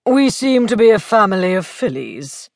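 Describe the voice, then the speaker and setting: A woman voicing a wry, urbane gentleman lord in an audiobook, droll and deliberate in manner. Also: medium pitch, sing-song, no room sound, clean studio sound. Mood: dry wit.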